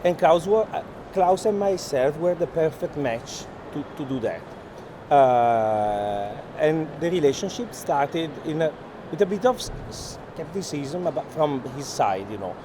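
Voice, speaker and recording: Higher-voiced man, noisy hall. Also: expressive, unhurried. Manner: earnest, hesitant non-native English phrasing. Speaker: art gallery owner. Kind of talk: unscripted conversational explanation. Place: art fair hall, on a walking tour. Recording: noisy busy indoor fair hall.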